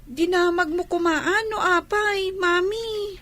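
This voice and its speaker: A woman's voice: very high-pitched, takes it slowly, fairly bright tone, full character voice. A woman with an Ilocano accent voicing a young daughter in a radio drama. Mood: pleading. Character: young daughter asking about her father. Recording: light music underneath.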